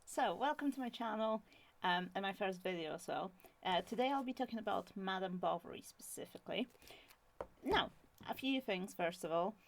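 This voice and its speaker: A woman's voice: medium pitch, animated, normal speed, gravelly. A young woman from Poland with a Polish accent, talking casually about a classic novel on her own channel, with many fillers and restarts. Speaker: channel host. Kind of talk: casual book talk to camera.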